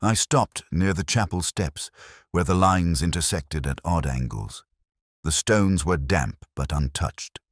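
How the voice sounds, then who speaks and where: A man acts as a brooding male detective telling his own mystery story in a very low, solemn voice. The delivery is measured and reflective, with lively rises and falls in pitch. The sound is dry and close, with no room around it. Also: dry recording. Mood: intent, uneasy. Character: brooding, introspective male police detective, first-person narrator. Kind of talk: literary scripted mystery narration.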